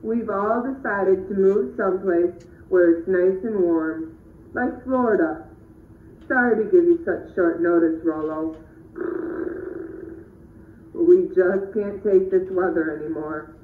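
A man voicing a weary, apologetic talking horse in a children's puppet show, with a deliberate theatrical manner. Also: high-pitched. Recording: roomy, distant, muffled phone-like audio.

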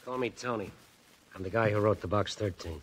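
A man's voice: on the low side, brisk, slight background noise, close to an everyday voice. An actor playing a sardonic, menacing gangster henchman in a 1940s radio crime drama, talking in curt, slangy threats. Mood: coolly menacing. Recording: vintage 1940s radio recording.

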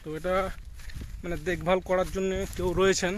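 High-voiced man vlogging outdoors. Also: fairly quick, slight background noise. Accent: West Bengal, Midnapore. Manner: chatty, addresses viewers as guys, gets excited. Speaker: vlogger filming his group. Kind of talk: casual vlog commentary to camera. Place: outdoors in a cashew grove.

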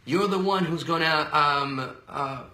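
A man's voice: a little high, takes it slowly, pushed and bright. A man in his thirties with a Venezuelan accent, a guest speaking earnestly in a casual interview, often restarting his words. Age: 30s. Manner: earnest and upbeat, many restarts and stammers. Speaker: guest, radio and TV personality. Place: small indoor office room. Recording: echoey small room.

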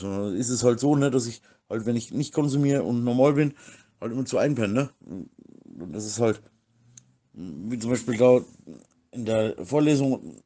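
Middle-aged man, medium pitch, casual and slangy, rambling voice-message delivery with frequent repeats. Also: mellow.

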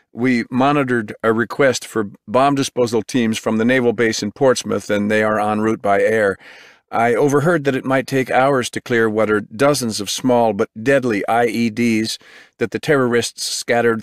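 A man's voice: medium pitch, fairly even intonation, moderate pace, no echo, clean studio sound, voice pushed up, natural voice. An actor reading as a male TV field reporter, speaking urgently in a raised voice. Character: male on-scene TV reporter, tense, breathless.

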